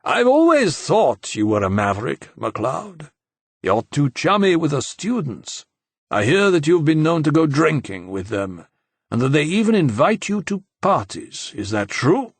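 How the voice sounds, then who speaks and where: A man's voice: on the high side, sing-song, unhurried, husky, no room sound, pitched above the performer's own voice, heavily acted. A man voicing a fussy, pompous male university president in an audiobook, testy and scolding. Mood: disapproving. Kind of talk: audiobook character acting.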